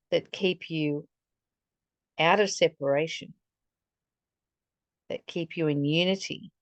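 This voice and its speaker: Female spiritual teacher, unhurried and earnest, reflective reading with commentary, close dry sound. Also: lowish voice, darkish tone, dry recording. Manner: deliberate, earnest, posing rhetorical questions to the listener.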